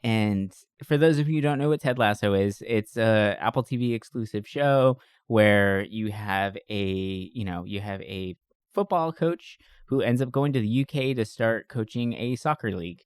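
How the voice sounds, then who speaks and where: A man's voice: on the low side, moderate pace, smooth, no echo. Chatty male podcast host, close dry sound. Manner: jokey, chatty, enthusiastic. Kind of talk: casual podcast chat.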